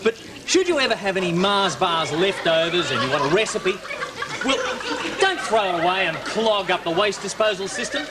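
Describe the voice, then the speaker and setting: A man's voice: high-pitched, very animated, moderate pace, a loud crowd. An Australian man presenting a mock cookery demonstration in a theatrical, mock-earnest way. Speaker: comedy TV cooking presenter. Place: TV studio kitchen set. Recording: noisy old TV audio, studio audience. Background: audience noise and laughter, clicks.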